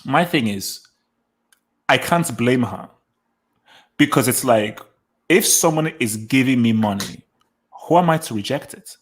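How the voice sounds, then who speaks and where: A man hosting a casual podcast chat, arguing his point with animated emphasis and lots of repeated words. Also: mid-range voice, unhurried, pauses often. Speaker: podcast host.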